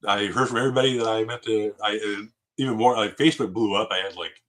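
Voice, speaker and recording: A man's voice: lowish voice, quick pace. A man in his late fifties chatting casually on a live stream, rambling with many fillers and frequent laughs. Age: 50s. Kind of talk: casual chat.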